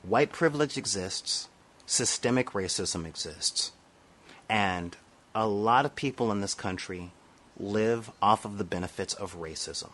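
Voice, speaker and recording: A man's voice: lowish voice, measured pace, a bit bright. A middle-aged man from Los Angeles with an American accent, speaking an unscripted, earnest opinion rant to camera and repeating phrases for stress. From South Central Los Angeles, United States. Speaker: vlogger.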